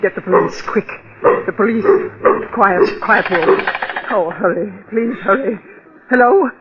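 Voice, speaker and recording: A woman's voice: mid-range voice, measured pace, close to an everyday voice. An actress playing a terrified, hysterical woman in a 1940s radio drama, sobbing and pleading frantically. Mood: panicked. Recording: muffled, very noisy old radio recording.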